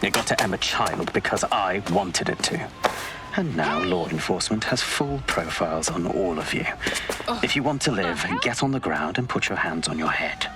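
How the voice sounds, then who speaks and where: A man's voice: low voice, lively intonation, normal speed. A man voicing a smug, cruel villain in a video game, taunting and condescending, with a British accent. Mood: smug, threatening. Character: smug, gloating male villain, cruel and self-assured. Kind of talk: video game cutscene acting.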